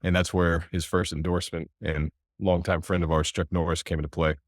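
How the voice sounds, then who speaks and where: A middle-aged American man, second generation in his family's business, telling its history in a relaxed, conversational way. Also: deep voice, fairly quick, no room sound, clean studio sound. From United States. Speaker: company executive. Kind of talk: storytelling in an interview.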